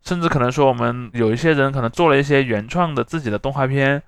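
Fast-talking man, close dry sound. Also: medium pitch, smooth, dry recording. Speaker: podcast host. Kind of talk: conversational explanation.

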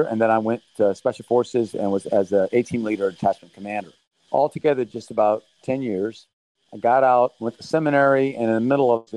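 A middle-aged man who grew up in Thailand, answering interview questions remotely in an earnest, measured way as the leader of a relief mission. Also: lowish voice, warm tone, no room sound, poor audio.

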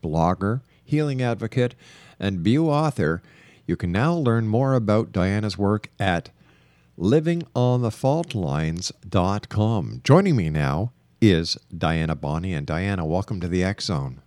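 A man's voice: fairly low pitch, animated, unhurried, a little mellow, no echo. A man hosting a radio interview in a polished, emphatic broadcaster's delivery. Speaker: radio talk show host.

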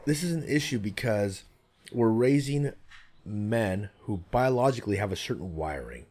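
A man's voice: on the low side, expressive, normal speed, darkish tone. A man telling stories and arguing his views in casual chat, blunt, profane and emphatic.

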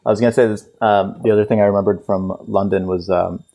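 Man, 20s, from Israel, very deep, warm mellow tone, casual storytelling. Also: fairly even intonation, fast. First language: Russian. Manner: easygoing storyteller with fillers. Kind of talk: casual chat, telling family stories.

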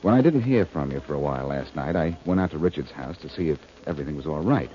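An actor playing a wry, confident amateur detective in a 1940s radio drama, self-assured and quick with a wisecrack. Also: male voice, rumbling low, lively intonation, dark tone. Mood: serious, confiding. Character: wry, confident amateur sleuth, adult man. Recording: dull, noisy vintage radio recording.

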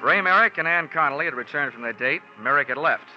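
An American actor playing a tough, wisecracking insurance investigator in a 1940s radio detective drama, with sardonic, clipped delivery. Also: male voice, on the high side, animated, fast, lightly acted. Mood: wry narrating. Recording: dull vintage 1940s radio recording, faint music.

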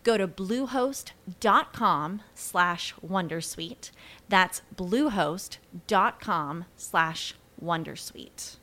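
A woman's voice: on the low side, animated, drawn-out words, sharp tone. A woman announcer reading a scripted commercial. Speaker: advert voice-over announcer.

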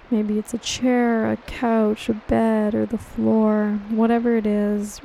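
A woman leads a guided meditation in a calm, gentle, reassuring and unhurried way, as soothing scripted narration. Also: a little high, vocal fry, slight background noise, low-quality recording. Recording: steady rain on water behind voice. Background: rain falling on water.

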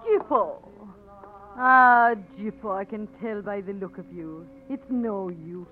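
A woman's voice: medium pitch, takes it slowly, close to an everyday voice. An actress playing a bedraggled, hungry young Irish woman, plaintive and reproachful, in a 1940s radio drama. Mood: weary, wistful. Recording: tinny, noisy 1940s radio recording.

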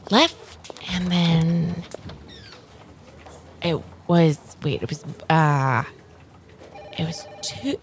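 A woman playing an anxious, flustered secret agent in an improvised audio drama, muttering nervously and haltingly. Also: sits low, slight background noise, exaggerated voice. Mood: anxious, self-soothing. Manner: halting, nervous, repetitive muttering and self-encouragement. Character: anxious, flustered female secret agent, talking herself through danger.

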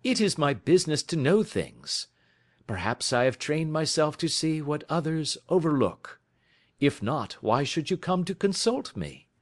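A man doing a crisp confident detective. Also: on the high side, big pitch swings, unhurried, voice pushed up. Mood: assured. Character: sharp, self-assured male detective.

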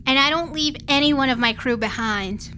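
High-voiced, tough spaceship captain. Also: female voice, normal speed, pushed and bright, a little hiss or hum. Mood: fierce loyalty. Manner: blunt, gruff, clipped commands. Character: tough, guarded female spaceship captain with a hidden soft side. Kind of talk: science fiction radio drama acting.

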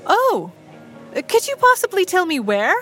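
A woman's voice: very high voice, wildly swooping pitch, normal speed, a bit bright, faint music behind, heavily acted. An actress playing a polite, proper, determined middle-aged mother in an audio drama, speaking with prim formality. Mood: polite, flustered.

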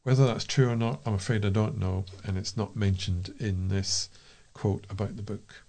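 A man's voice: lowish voice, steady tone, normal speed, gravelly, dark tone. A man with a British accent reading feature articles aloud as a volunteer, in an informal, unpolished way. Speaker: volunteer reader for a local talking newspaper.

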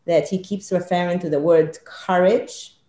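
A woman's voice: on the low side, fairly even intonation, measured pace, mellow, reverberant. Woman with a mixed British-Israeli accent. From born in Israel, British Israeli. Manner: earnest, reflective, speaks in lists. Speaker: guest, leadership consultant. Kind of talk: podcast interview. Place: remote call from home or office.

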